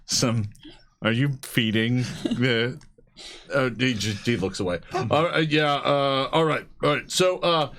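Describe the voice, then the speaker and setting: A man narrating a tabletop game as game master, improvising haltingly and often repeating his words. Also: medium pitch, fairly bright tone.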